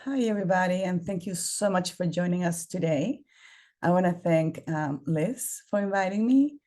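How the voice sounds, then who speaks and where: Woman with a mellow, low voice. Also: moderate pace. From Costa Rica. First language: Spanish. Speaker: early education trainer. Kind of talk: presenter introducing herself. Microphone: video call.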